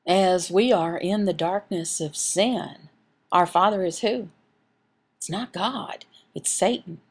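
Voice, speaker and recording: A woman reading a sermon and scripture aloud as a voice interpreter, in measured, halting short phrases. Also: fairly low pitch.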